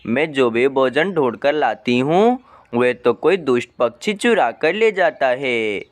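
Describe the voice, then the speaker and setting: A man's voice: mid-range voice, lively intonation, measured pace, pitched below the performer's own voice, natural voice. A man voicing a worried, sorrowful mother bird in a cartoon moral story, lamenting and pleading tenderly. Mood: despairing. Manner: plaintive, lamenting.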